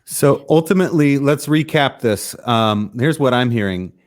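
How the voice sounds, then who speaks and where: A man's voice: mid-range voice, animated, normal speed. A man co-hosting a podcast, asking rambling questions and recapping for listeners. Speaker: podcast co-host. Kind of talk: casual podcast interview.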